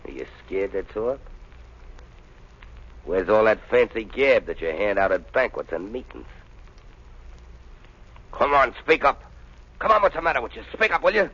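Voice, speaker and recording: A man's voice: fairly low pitch, sing-song, normal speed, long pauses, fairly bright tone, breathy edge, full character voice. An actor playing a vengeful, hot-headed gangster with crude, slangy tough-guy talk in a 1930s radio crime drama. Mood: menacing, taunting. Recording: muffled vintage 1930s radio recording.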